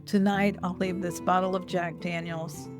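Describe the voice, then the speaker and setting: A woman reading a first-person literary short story aloud in a measured, deliberate way. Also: lowish voice, level tone, loud music behind the voice.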